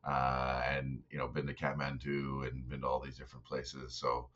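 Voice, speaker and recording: Deep-voiced man from the prairies, easygoing and thoughtful, heard over muffled audio. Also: normal speed. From Saskatchewan, Canada. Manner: relaxed and reflective, with many fillers. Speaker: guest, startup investor. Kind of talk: casual interview conversation. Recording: dull, lo-fi remote audio.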